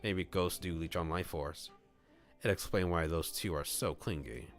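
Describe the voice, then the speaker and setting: A man on a livestream reading a visual novel aloud, mixing scripted narration with casual asides where he thinks out loud. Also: low-pitched, normal speed. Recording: music playing behind the voice. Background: clear background music.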